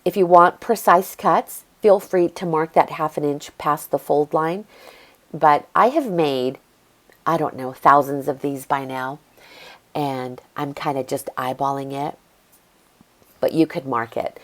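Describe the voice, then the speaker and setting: Brief: middle-aged woman, low voice, stuffy-nosed, relaxed craft tutorial host talking while she works. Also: unhurried. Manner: chatty, easygoing, explains as she works. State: congested from allergies. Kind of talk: step-by-step craft tutorial voice-over.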